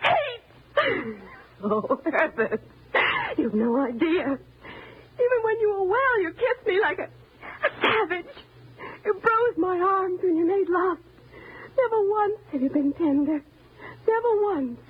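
Radio thriller role for an actress as a mousy wife turned killer, lightly high voice, bitter and menacing, on a thin, hissy old recording. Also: female voice, animated, normal speed, close to an everyday voice. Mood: tearful hatred. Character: timid, resentful wife calmly turned murderous. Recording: noisy, narrow-band old radio recording.

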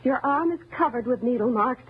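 An actress playing a timid, resentful wife turned murderous, in old-time radio thriller style, menacing and bitter. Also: female voice, a little high, lively intonation, fairly quick, breathy edge. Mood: calm menace. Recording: noisy, narrow-band old radio recording.

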